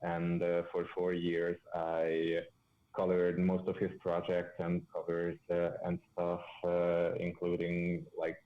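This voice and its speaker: A man's voice: low voice, completely flat delivery, slow. A man, a comic colorist, speaking hesitantly with many fillers over dull, lo-fi audio. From Hungary.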